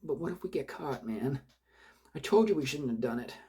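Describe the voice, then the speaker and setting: A man voicing a panicked, sobbing young male accomplice who wails in a high voice. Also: lively intonation, moderate pace, a little mellow, husky. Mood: panicked wailing.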